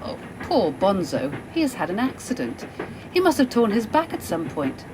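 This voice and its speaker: An actress plays a kindly, concerned lady traveller in an amateur radio drama. She is polite, with a lively, swinging intonation at a middle pitch. A train rumbles noisily behind. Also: moderate pace, noisy background, natural voice. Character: Carol, kindly, well-spoken, concerned lady passenger. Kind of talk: amateur radio play acting.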